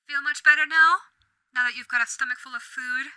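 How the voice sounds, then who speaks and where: A woman playing a bright, silky female Radio Demon. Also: high voice, fairly even intonation, a little fast, no room sound. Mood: teasing. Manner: theatrical, silky and mock-polite. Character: female Radio Demon, suave, menacing yet doting. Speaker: voice actor. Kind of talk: audio roleplay acting.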